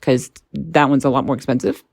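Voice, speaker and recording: A woman's voice: extremely low pitch, steady tone, fairly quick, creaky, darkish tone. A woman presenting a casual hands-on gadget review, informal and a little tentative as she thinks aloud.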